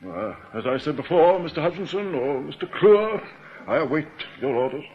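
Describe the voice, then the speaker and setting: Radio drama role: proud, starchy ex-bank manager, prickly and pompous, high voice, muffled old recording. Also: male voice, sing-song, normal speed, slight background noise. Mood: indignant, stiff. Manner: pompous, stiffly formal.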